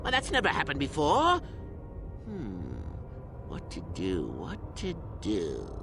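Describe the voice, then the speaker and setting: Theatrical, cruel old Sith schoolmaster, wildly swooping voice. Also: male voice, mid-range voice, wildly swooping pitch, takes it slowly, music in the background, exaggerated voice. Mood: menacing, amused. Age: older. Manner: pompous, gleefully cruel mock-schoolmaster, theatrical swings. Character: sadistic, theatrical old Sith interrogator tormenting his students. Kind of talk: video game villain acting.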